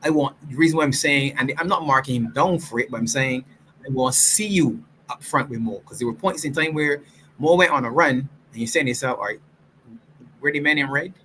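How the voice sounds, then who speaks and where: Man, casual match reaction chat, animated, long tactical explanations, faint background noise. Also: mid-range voice, brisk, rough recording. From Barbados. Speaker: football fan show host.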